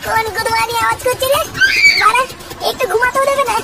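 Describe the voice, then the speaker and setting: A cheeky, crude little cartoon schemer, mocking everyone he talks to. The voice is extremely high-pitched and squeaky, and it rattles along quickly. The soundtrack has clear noise behind it. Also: fairly even intonation, raised voice. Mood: grumpy. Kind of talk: comedy cartoon dub.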